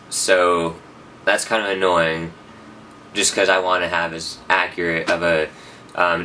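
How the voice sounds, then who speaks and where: A man's voice: low voice, sharp tone, recorded from a distance, slight background noise, poor audio. A young man rambling through a casual personal update, often correcting himself. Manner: rambling, self-correcting, chatty. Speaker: vlogger.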